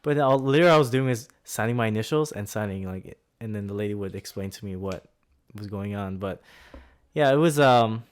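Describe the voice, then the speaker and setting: A man's voice: lowish voice, fairly quick, smooth. A man in his twenties, co-hosting a podcast in a laid-back, rambling way, often laughing. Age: 20s. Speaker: podcast co-host. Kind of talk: casual chat.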